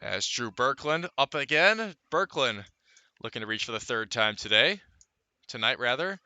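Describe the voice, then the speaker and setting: A man giving live radio play-by-play of a baseball game, calling it in measured, deliberate phrases with pauses. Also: mid-range voice, big pitch swings, bright, forceful tone, no echo. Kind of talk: live radio sports commentary.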